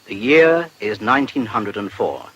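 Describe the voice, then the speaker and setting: A man's voice: lowish voice, lively intonation, moderate pace, fairly bright tone, breathy edge, highs cut off. A man reading a scripted introduction as a radio drama announcer.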